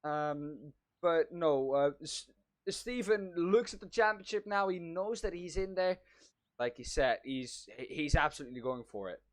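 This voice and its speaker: A man's voice: on the high side, lively intonation, unhurried. A man co-commentating a sim race in an upbeat way, thanking drivers and wrapping up the broadcast. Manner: upbeat, easy laughs, wraps up the show.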